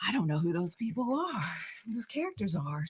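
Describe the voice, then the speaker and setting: A woman's voice: fairly low pitch, very animated, breathy edge. A woman co-hosting a live comics talk stream, chatting playfully and laughing often. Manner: giggly, playful, quick to laugh. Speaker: co-host of a comics show. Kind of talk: casual live-stream chat.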